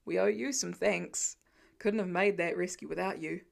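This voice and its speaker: Woman putting on a commanding, low-pitched male firefighter. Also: moderate pace, gravelly. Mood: reassuring. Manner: shouted, clipped commands. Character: seasoned male firefighter lieutenant, gruff, commanding, steady.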